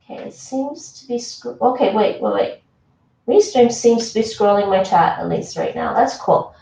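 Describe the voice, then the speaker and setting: A woman's voice: mid-range voice, lively intonation, moderate pace, vocal fry, dark tone, far from the mic, slight background noise. A woman from Toronto, Canada, chatting casually with her stream chat while cooking in a home kitchen, laughing often. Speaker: livestreamer. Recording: echoey room, voice off-mic.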